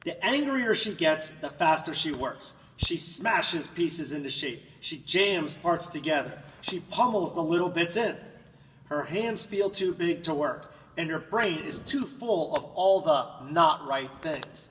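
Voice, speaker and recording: Echoey, higher-voiced man reading a storybook dramatically. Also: lively intonation, a bit bright. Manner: dramatic, with drawn-out words and emphatic swells. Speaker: storybook reader. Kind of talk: expressive children's picture-book read-aloud. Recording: echoey room, distant mic, faint noise.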